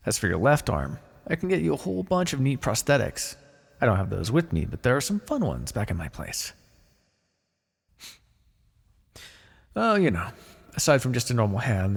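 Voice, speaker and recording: A man plays a pragmatic necromancer in a fantasy roleplay, chatting casually and wryly about grim work. His voice is husky and gravelly, with lively swings in pitch. A long reverb hangs around every phrase. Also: exaggerated voice. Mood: casual, matter-of-fact. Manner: casual, conversational, wry. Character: pragmatic, chatty male necromancer, matter-of-fact and ambitious. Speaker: roleplay voice actor. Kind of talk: ASMR fantasy roleplay, one-sided dialogue.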